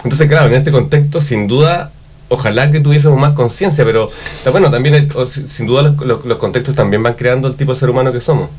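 A man's voice: medium pitch, fast talker, a little mellow. A man with a Chilean accent hosting a casual, reflective discussion, thinking aloud and rephrasing as he goes. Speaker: talk show host.

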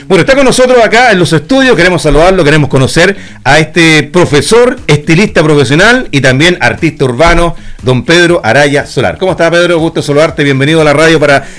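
A man's voice: fairly high pitch, expressive, fast talker, few pauses, fairly bright tone. A Chilean man hosting a radio interview from the studio, reading sponsor spots and prompting his guest with short acknowledgements. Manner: conversational, many short acknowledgements. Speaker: radio host.

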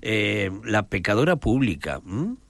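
A man, a priest speaking in a low voice with a lively intonation, talks through Gospel stories at an unhurried pace. He often loses his thread, searches aloud for names and checks in with his listener. The sound is close and dry. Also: vocal fry, no room sound. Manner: rambling, searching for names, frequent "¿m?" check-ins. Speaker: priest and chaplain guest. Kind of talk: relaxed radio-style conversation on the Gospel.

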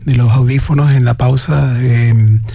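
A Chilean man talks as a radio guest in a gravelly, mellow voice, recorded dry and close. His speech is hesitant, full of "eh" and "digamos" fillers, with restarts. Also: medium pitch, fairly even intonation, unhurried, no echo. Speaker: guest agronomist. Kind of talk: radio interview.

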